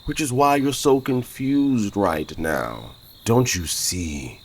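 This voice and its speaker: Slow, swooping, smug lovestruck suitor. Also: male voice, mid-range voice, lively intonation. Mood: smug flirting. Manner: flirtatious, theatrical, self-assured. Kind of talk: audio drama acting.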